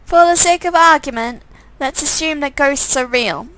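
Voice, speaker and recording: A woman's voice: sits high, moderate pace, fairly bright tone, no room sound, low-quality recording. A woman voicing chatty, curious young women from a visual novel in a theatrical, conversational read. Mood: keen.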